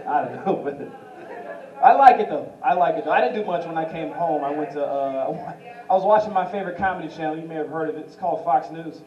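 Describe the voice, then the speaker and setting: A man's voice: sits high, darkish tone, dull audio. A young man doing stand-up at an open mic on a small club stage, into a handheld mic, who slips into impressions of famous voices. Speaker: stand-up comedian. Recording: roomy club audio from a far camera. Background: audience laughter.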